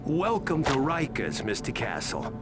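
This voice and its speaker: Sardonic detective with lively intonation. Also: male voice, medium pitch, unhurried, loud music behind the voice. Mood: wry, needling. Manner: sardonic, casual, needling. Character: wry, smug male police detective interrogator. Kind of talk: video game interrogation acting.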